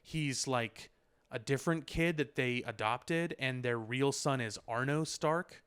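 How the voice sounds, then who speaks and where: A man's voice: medium pitch, measured pace, a bit bright, clear, resonant tone. A man co-hosting a podcast in casual discussion, explaining things in a loose, circling way full of filler words. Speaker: podcast co-host. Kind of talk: casual pop culture discussion.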